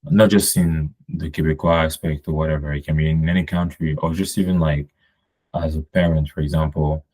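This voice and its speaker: Podcast guest, male, very deep voice, French native speaker, meandering casual delivery. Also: steady tone, brisk, warm tone, slightly rough. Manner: rambling, many fillers and restarts. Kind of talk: casual conversation.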